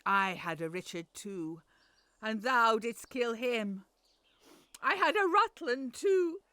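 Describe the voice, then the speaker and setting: An aged royal mother worn down by grief. She speaks slowly and mournfully. Her tone is bright and forceful. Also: a little high, expressive, no room sound, full character voice. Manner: weary, mournful. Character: aged mother of a king, sorrowful and weary. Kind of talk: Shakespearean audio drama acting.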